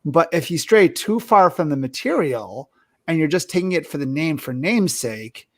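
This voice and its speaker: A man's voice: fairly high pitch, animated, moderate pace. A man co-hosting a casual conversation about films and storytelling. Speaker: podcast co-host. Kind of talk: casual movie discussion.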